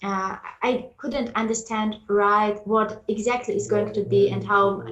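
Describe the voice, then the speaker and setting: A woman's voice: mid-range voice, steady tone, a little slow, a bit bright, muffled recording. Armenian accent lady on a panel call, lots of uhs and restarts, echoey room. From Artsakh. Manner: hesitant, apologetic, many fillers and restarts. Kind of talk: online panel discussion.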